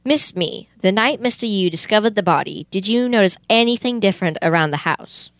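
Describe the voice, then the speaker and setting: A woman's voice: mid-range voice, lively intonation, fairly quick, no room sound, close to an everyday voice. A young woman playing a polite, methodical male police detective in an amateur radio play. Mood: polite, puzzled. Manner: formal, questioning. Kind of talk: amateur old-time radio theater acting.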